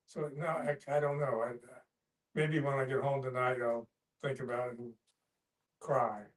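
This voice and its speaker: An older man chatting in a group, reflecting on himself in a halting way. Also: medium pitch, little pitch movement, far from the mic. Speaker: discussion group participant, writer. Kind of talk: casual group chat. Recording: roomy echo, off the microphone.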